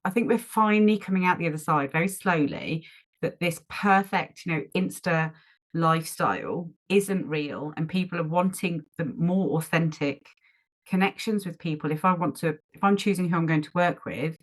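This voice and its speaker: A woman with a notably low-pitched voice hosts a casual podcast conversation. She is chatty and agreeable, often nudging her guest with questions that invite agreement. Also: normal speed. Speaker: podcast host. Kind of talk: casual interview talk.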